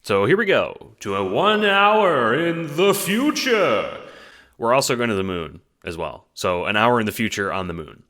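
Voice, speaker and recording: A man's voice: medium pitch, big pitch swings, unhurried, bright, forceful tone. A man delivering scripted audiobook narration in a wry, theatrical, playful way.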